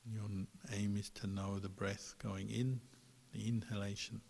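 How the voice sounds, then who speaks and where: Male meditation guide, lowish and very level, calm and unhurried, in long hall reverb. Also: creaky. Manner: calm, unhurried, gentle pauses between phrases. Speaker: Buddhist monk, meditation teacher.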